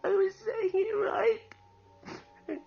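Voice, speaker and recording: A man's voice: extremely high pitch, level tone, very slow, creaky, exaggerated voice. A man playing a distressed childlike person, fretful and defiant, reciting in a radio horror drama. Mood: reluctant, pleading. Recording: muffled radio drama with faint music.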